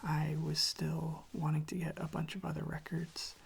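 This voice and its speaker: An ASMR creator speaking slowly in a soft, hushed whisper close to the microphone, gently repeating phrases. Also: medium pitch, completely flat delivery, gravelly, slightly rough. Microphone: close microphone propped on a desk.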